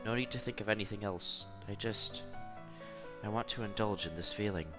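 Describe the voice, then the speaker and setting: A man's voice: on the low side, normal speed, lo-fi sound, over music. A man reading visual novel narration aloud as a streamer, expressively, with casual asides and exclamations.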